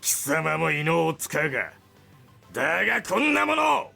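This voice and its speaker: A man's voice: fairly high pitch, sing-song, fairly quick, creaky, pushed and bright, soft background music, exaggerated voice. A male actor playing a menacing, mocking enemy fighter in a Japanese visual novel, with a taunting, contemptuous delivery.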